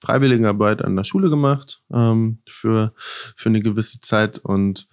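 Low-voiced young man, reflective. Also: measured pace, no echo, studio quality. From northern Germany. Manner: reflective, searching for words. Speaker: podcast guest, founder. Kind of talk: casual interview answers.

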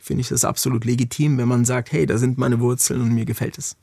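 A man's voice: medium pitch, steady tone, fast talker, warm tone, no room sound. A man from Frankfurt, a podcast guest, talking reflectively with many hesitations and fillers. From Frankfurt, Germany. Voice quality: gravelly, husky. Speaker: interview guest. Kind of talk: conversational podcast interview.

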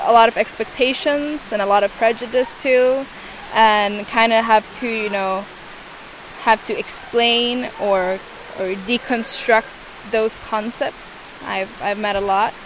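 Young woman talking slow and thoughtful in a second language, hesitant, lots of restarts. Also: on the high side, slight background noise, low-quality recording. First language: not English. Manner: hesitant, searching for words, many restarts. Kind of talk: thoughtful interview answers.

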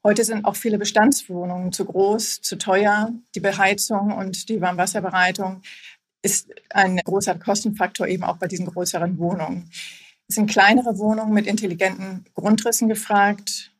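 Husky-voiced woman in her fifties. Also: mid-range voice, steady tone, moderate pace. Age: 50s. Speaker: housing cooperative executive, guest. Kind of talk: podcast interview.

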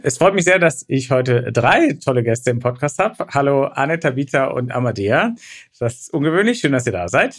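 Podcast-Moderator, leicht belegte Stimme. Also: male voice, medium pitch, very animated, normal speed. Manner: friendly, chatty moderating. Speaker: podcast host. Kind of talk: casual podcast interview.

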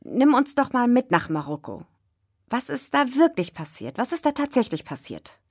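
A woman's voice: a little high, big pitch swings, moderate pace, darkish tone, husky, no room sound, clean studio sound. A woman hosting and interviewing in a conversational style. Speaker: podcast host and interviewer.

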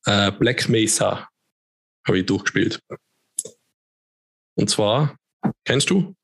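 A man, co-hosting a podcast in relaxed, rambling casual chat. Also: on the low side, takes it slowly, gravelly, husky, dry recording. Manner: relaxed, chatty, rambling with fillers. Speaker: podcast co-host.

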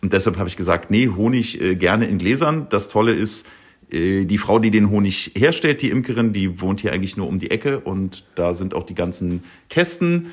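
Husky guy with a lowish voice, shop owner chatting away, lots of ums and "ne?". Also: expressive, moderate pace. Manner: chatty, with many fillers and tag questions. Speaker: shop owner giving a tour. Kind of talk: casual explanatory chat. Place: small shop, indoors.

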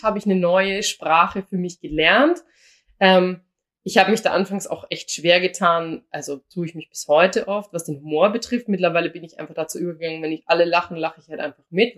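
A young woman from Austria, co-hosting a casual podcast and telling stories with quoted dialogue. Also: lowish voice. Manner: chatty, anecdotal, acts out quoted dialogue. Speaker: podcast co-host.

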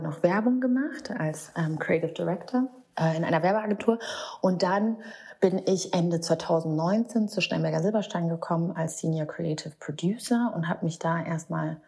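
A woman from Rwanda answering interview questions in a measured way, often pausing with fillers. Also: low-pitched, gravelly, darkish tone, slightly rough. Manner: measured, with frequent hesitation fillers.

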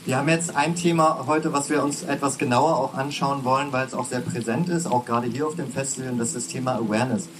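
A man's voice: medium pitch, fairly even intonation, quick pace, few pauses, background noise. A man hosting a live podcast panel, speaking casually and loosely as he asks questions. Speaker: podcast host moderating a panel. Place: festival tent in the rain.

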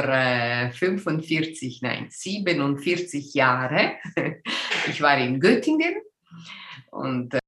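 An older woman from Ticino talks in German with a clear Italian-Swiss accent, often pausing to search for words. Her voice sits low and she speaks slowly. The recording has a roomy echo. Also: fairly bright tone. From Ticino, Switzerland. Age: 60s. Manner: hesitant, searching for words, frequent ums. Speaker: guest. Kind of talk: casual interview chat.

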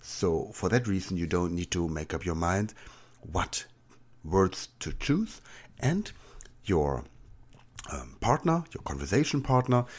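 A native German man hosting a language podcast, explaining in English and then answering practice questions with playful asides. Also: fairly low pitch. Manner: lively, jokey asides in answers. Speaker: language teacher and podcast host.

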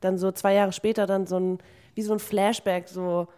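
A woman's voice: mid-range voice, little pitch movement, normal speed, mellow. A woman co-hosting a podcast who speaks frankly and gives her friend direct advice in a casual, plain way. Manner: frank, advising, blunt phrasing. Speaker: podcast co-host. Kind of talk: casual supportive conversation.

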